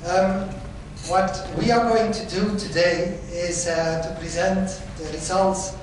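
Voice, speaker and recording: A man's voice: high voice, fairly even intonation, slow pace. A man from Belgium, a researcher presenting at a conference, speaking hesitantly with many fillers. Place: large conference hall. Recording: echoey hall, mic from far off, noisy.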